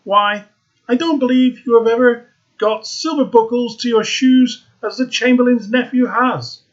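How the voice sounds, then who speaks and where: A man's voice: very high-pitched, measured pace, highs cut off, pitched above the performer's own voice. A man voicing a vain, snobbish young woman in a read-aloud fairy tale. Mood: haughty. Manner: dismissive, snobbish. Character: vain haughty young woman, professor's daughter.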